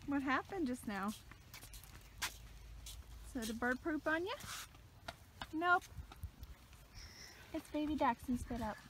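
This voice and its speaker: A woman's voice: sits high, expressive, slow, long pauses, background noise. A woman chatting casually with her kids while she films a family outing at an outdoor park. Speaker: mother filming a family outing. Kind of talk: casual family vlog chat.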